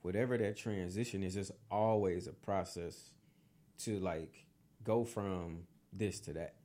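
A man's voice: low-pitched, measured pace, warm tone. A man hosting a casual chat, reflective and affirming, who draws out his phrases.

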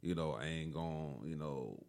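A young man with a deep voice, talking as a musician guest in a calm, laid-back way. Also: measured pace, gravelly. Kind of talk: casual interview chat.

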